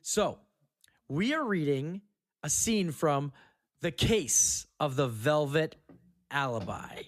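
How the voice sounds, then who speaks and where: A man's voice: a little high, expressive, takes it slowly. A man hosting a podcast, moving between casual chat and scripted scene narration.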